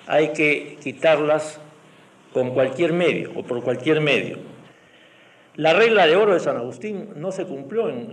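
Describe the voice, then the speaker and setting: A man with a slightly high voice explains things like a history professor. He builds his points in measured phrases, repeating words for emphasis. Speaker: historian and university professor, priest. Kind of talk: explanatory academic commentary.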